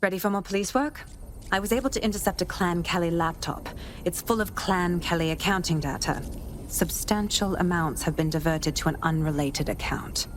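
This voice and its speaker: An actress playing a composed police contact in a video game, with a formal, clipped, businesslike manner. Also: female voice, lowish voice, moderate pace, slight background noise, natural voice. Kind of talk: scripted video game dialogue.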